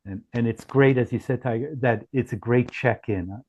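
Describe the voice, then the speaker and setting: A man presenting as a company founder in a business pitch on a group call, speaking haltingly with frequent restarts. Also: a little mellow, husky, dull audio. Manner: halting, often restarts phrases. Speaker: startup founder presenting his product.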